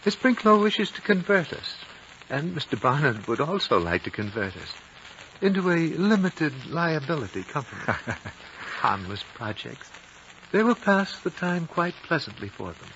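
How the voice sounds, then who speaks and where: An actor playing an ancient, wise and dying high lama in a 1950s radio drama, solemn, fatherly and measured. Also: very animated, a bit bright. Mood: gently amused. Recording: dull, noisy old radio recording.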